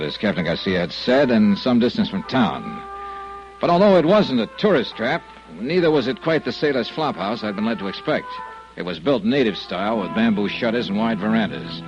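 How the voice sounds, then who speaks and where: An actor playing a hard-boiled American investigator, giving dry, punchy, sardonic first-person narration in 1950s radio style. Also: male voice, on the low side, big pitch swings, a little fast, soft background music. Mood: dry, narrating. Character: hard-boiled male investigator narrating his expense-account case report. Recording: muffled old radio broadcast.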